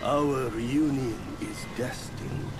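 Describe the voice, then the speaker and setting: A man voicing a self-assured, boastful mystical mentor in a video game, speaking in a measured and grandiose manner. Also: mid-range voice, music in the background, close to an everyday voice. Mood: proud, instructive. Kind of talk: video game cutscene acting.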